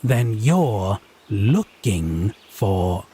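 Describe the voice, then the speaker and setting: An actor playing a haughty, teasing male Fae in an audio roleplay, speaking in an arch, pompous, theatrically drawn-out way. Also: on the low side, big pitch swings, mellow, husky, full character voice. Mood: mock offended, teasing. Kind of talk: scripted audio roleplay drama.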